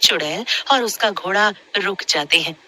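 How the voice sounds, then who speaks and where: A woman storyteller reads a scripted horror tale. Her voice sits at a middle pitch with a very bright, intense edge. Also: moderate pace.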